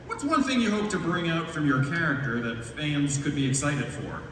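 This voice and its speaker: A man's voice: fairly high pitch, expressive, normal speed, a bit bright. A man moderating a live panel at a convention, putting questions to guests. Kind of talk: live stage interviewing. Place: large convention hall, voice over PA. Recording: echoey hall PA, distant, crowd noise.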